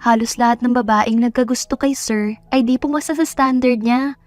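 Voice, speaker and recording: A woman's voice: a little high, fairly even intonation, normal speed, no room sound, soft background music, close to an everyday voice. A woman voicing a polite, chatty waitress in an audio drama, deferential as she explains things. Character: polite, chatty young waitress at a resto bar.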